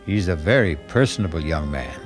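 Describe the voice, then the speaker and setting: A man hosts and narrates a radio mystery in scripted storyteller fashion. His voice is deep, and music plays clearly underneath. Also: animated, fairly quick.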